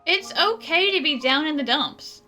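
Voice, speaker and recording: A bright, perky young woman. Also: high voice, expressive, moderate pace. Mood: supportive, frank. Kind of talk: video game voice acting. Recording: soft music behind the voice.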